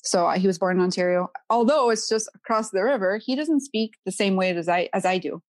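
A woman's voice: medium pitch, fairly quick, no room sound, studio quality. A woman in her thirties from Quebec with a Quebec French accent, talking as a podcast guest in a casual chat, often adding "you know" and "right?".

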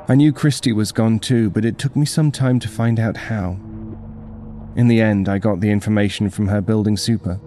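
Male narrator for horror fiction: lowish voice, measured literary delivery, music clearly underneath. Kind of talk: dramatic scripted first-person narration.

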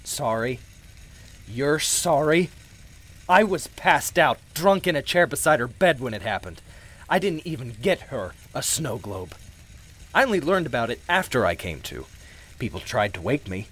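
A man playing a hesitant, guilt-ridden widowed husband confiding in an audio drama, with halting delivery. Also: mid-range voice, sing-song, fairly bright tone. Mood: bitter self-reproach. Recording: background music under the voice.

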